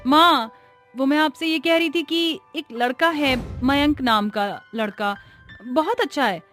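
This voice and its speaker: A woman's voice: high-pitched, a little slow, fairly bright tone. A woman voicing an eager, affectionate young daughter in a cartoon story, with a gushing manner. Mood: shy, hopeful. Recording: music behind the voice.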